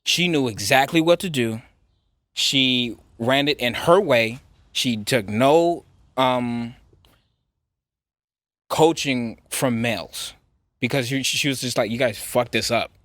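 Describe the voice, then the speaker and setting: Young guy cohosting a podcast, rambles thru a story, lots of um and like, regular pitch. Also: takes it slowly, long pauses, a bit bright, no room sound. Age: 20s. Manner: loose, rambling storytelling with slang. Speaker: podcast co-host. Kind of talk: casual chat.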